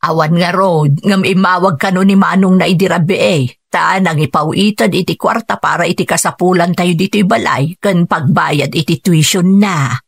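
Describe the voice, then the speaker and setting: Husky, worried older mother. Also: lowish voice, normal speed, dry recording. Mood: matter-of-fact. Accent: Ilocano. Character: worried, practical Ilocano mother, nagging older wife. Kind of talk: emotive radio drama acting.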